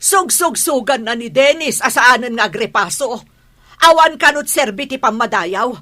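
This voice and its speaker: A woman's voice: fairly high pitch, expressive, moderate pace, pushed and bright. An actress playing a haughty, hot-tempered mother in a Filipino radio drama, with an indignant, scornful manner and a Filipino accent. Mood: angry, complaining.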